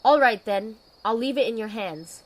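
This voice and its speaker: A woman playing a dutiful, weary knight commander in an audio drama, speaking in a measured, authoritative way. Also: on the high side, expressive, lightly acted, rough recording. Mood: businesslike.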